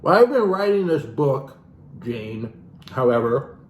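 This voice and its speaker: A man's voice: medium pitch, sing-song, unhurried, dull audio. A man reading a book aloud in a mocking, irreverent way, with casual, sweary asides. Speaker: reader and host of a comic book reading. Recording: roomy echo with background noise.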